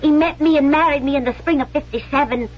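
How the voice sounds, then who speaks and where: An actress playing an indignant working-class London wife in a radio drama, with a London accent, accusing and pleading. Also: female voice, high voice, fast, lightly acted. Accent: English working-class London. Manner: loud, accusatory, plaintive. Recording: muffled vintage radio recording.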